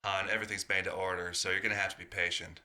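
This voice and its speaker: A man's voice: low-pitched, little pitch movement, very fast, sharp tone. A man voicing a big, friendly, no-nonsense diner waitress with a folksy, direct manner in an audio roleplay. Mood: firm.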